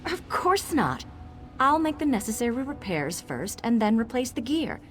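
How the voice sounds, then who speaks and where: A synthetic woman's voice playing a brisk, self-assured engineer in a fantasy video game, speaking with precise, technical confidence. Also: on the high side, very animated, slight background noise. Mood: confident, explanatory.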